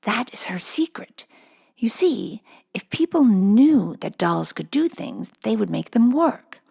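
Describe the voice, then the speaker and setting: A woman's voice: a little high, big pitch swings, slow pace, vocal fry, dark tone, slightly rough, pitched above the performer's own voice, exaggerated voice. A woman voicing a serious, imaginative little girl in a children's storybook, earnest and whimsical. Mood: confiding. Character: imaginative, serious little girl confiding about her doll.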